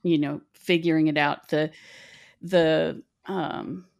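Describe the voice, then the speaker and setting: A woman's voice: low-pitched, fairly even intonation, takes it slowly, gravelly. A middle-aged woman from West Virginia, telling a meandering, self-deprecating story in a casual podcast chat.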